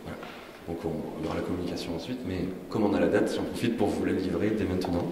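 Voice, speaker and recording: A man acting as organizer, giving a brisk, informal announcement and handing over to the speaker. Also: sits low, fairly even intonation, background noise. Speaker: event organizer. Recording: distant mic in echoey hall.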